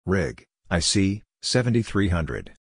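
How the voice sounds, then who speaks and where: A man reading short scripted practice phrases as a drill narrator, measured, spelling out letters and digits one by one. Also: deep voice, big pitch swings, husky, dry recording, studio quality.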